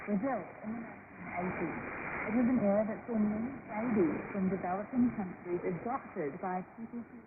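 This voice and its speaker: A woman's voice: medium pitch, narrow, tinny audio. Woman presenter on noisy shortwave radio. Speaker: radio news programme presenter. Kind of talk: scripted news magazine introduction. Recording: noisy band-limited shortwave radio broadcast.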